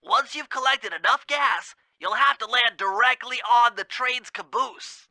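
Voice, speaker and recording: A man's voice: extremely high pitch, measured pace, sharp tone, no echo, exaggerated voice. A man voicing a brainy, anxious animal gadget expert in a cartoon video game, nasal and precise in formal technical phrasing. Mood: matter-of-fact, precise.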